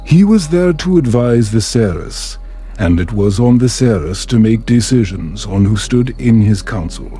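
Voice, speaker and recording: Slow, mellow man narrating a bedtime story. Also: on the low side, lively intonation, soft background music. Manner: slow, measured, soothing.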